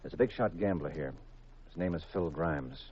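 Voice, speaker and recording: Seeking a man to play a hard-boiled gumshoe: very deep, deadpan, vintage muffled radio sound with music. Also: normal speed, background noise, natural voice. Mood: matter-of-fact. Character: hard-boiled male private detective, cool, wry, narrating his case. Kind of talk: 1940s-50s radio mystery acting, first-person narration.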